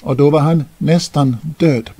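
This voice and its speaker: An older man, a deacon, telling a Bible story to children outdoors in an expressive, lively way. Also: on the high side, husky. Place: outdoors in an open field.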